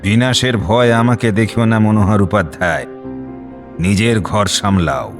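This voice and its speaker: A man's voice: mid-range voice, sing-song, a little slow, over music. A man playing a domineering, menacing contractor boss in an audio drama, sarcastic and commanding. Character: domineering male contractor boss, threatening and sneering.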